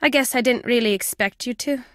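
A woman's voice: a little high, brisk, a bit bright, no room sound, natural voice. An actress playing a wry, guarded older woman with a British accent, a former spy in a video game, dry and fond in manner. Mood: wry, reminiscing. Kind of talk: video game character dialogue.

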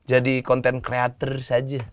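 A man chatting at a restaurant table, asking quick teasing questions and laughing easily. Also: mid-range voice, monotone. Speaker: guest comedian. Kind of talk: casual chat. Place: indoor restaurant set.

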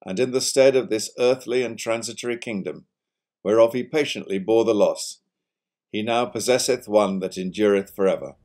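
Guy with a lowish voice doing a formal solemn reading, measured and declamatory. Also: a little mellow. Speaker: college provost giving a reading.

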